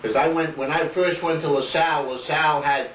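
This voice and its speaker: A man's voice: a little high, steady tone, a little fast, fairly bright tone. A middle-aged man, patiently questioning his mother about family history. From Rhode Island. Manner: patient, questioning, a bit rambling. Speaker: son interviewing his mother. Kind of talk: casual family interview. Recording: echoey home video, distant mic, hiss.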